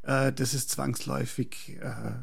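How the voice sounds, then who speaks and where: A man from Prague, speaking as an architect in a reflective interview, deliberate and searching for words. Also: medium pitch, little pitch movement, creaky, husky. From Prague, Czechoslovakia, later schooled in Austria.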